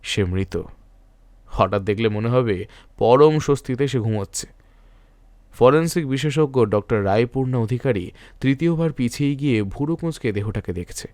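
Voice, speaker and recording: A man narrating a scripted suspense story in a measured, literary storytelling manner. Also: medium pitch, lively intonation, a little mellow, no room sound.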